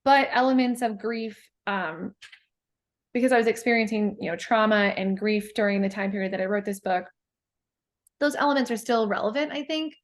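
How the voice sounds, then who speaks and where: Thoughtful, halting woman poet. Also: medium pitch. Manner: thoughtful, halting, many fillers and restarts. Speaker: guest poet. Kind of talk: casual interview conversation.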